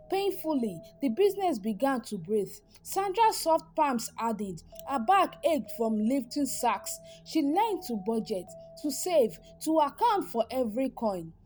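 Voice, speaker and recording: A woman narrating a scripted dramatic story in measured short phrases, pausing for effect. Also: on the high side, a little mellow.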